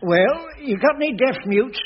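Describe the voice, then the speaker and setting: Type: flustered, stammering caretaker. Pitch: very high for a man. Audio: hissy old radio. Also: lively intonation, normal speed, muffled recording. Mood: awkward. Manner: bumbling, stammering, easily flustered. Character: fussy, flustered caretaker man, mid-forties. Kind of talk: 1980s radio sitcom acting.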